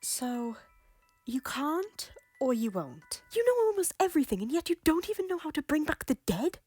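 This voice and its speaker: A woman voicing a guilt-ridden former queen who pleads in breathy, often whispered lines. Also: sits high, big pitch swings, moderate pace, a little mellow, dry recording, faint music behind. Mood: pleading. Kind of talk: fan audio drama acting.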